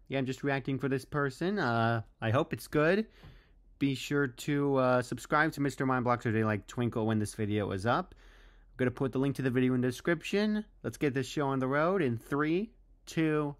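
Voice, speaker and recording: A young man giving casual reaction commentary as host, recorded indoors in a bedroom. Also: mid-range voice. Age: young adult.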